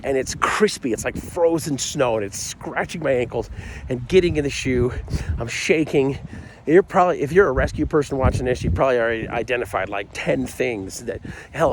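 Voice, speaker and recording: A man's voice: mid-range voice, noisy background. A middle-aged American man telling a comic personal story in a casual, rambling, self-deprecating way with frequent asides.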